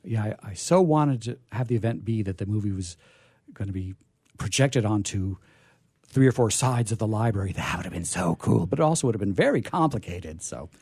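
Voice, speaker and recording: Rambling middle-aged man, husky voice. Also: on the low side, expressive, a little fast, a little mellow, dry recording. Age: middle-aged or older. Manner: enthusiastic, rambling, self-deprecating. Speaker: radio show host. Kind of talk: casual unscripted event chat.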